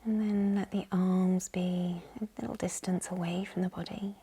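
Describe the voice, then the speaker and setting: Female meditation guide, very breathy and near-whispered, slightly low, unhurried and soothing delivery. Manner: calm, gentle, unhurried. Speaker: yoga nidra guide. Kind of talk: guided meditation, slow hushed instruction.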